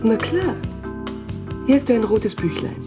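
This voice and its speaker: A woman's voice: on the high side, sing-song, measured pace, music in the background. An actress playing a secretive, assured woman who coaxes others into a cult in a German audio drama. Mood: friendly, coaxing. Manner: coaxing, assured.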